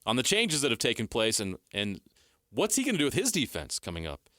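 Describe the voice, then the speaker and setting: A man hosting a sports radio show, talking briskly and leading the segment. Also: mid-range voice, huge pitch swings, a bit bright, no echo, clean studio sound. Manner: brisk, upbeat, leads the segment.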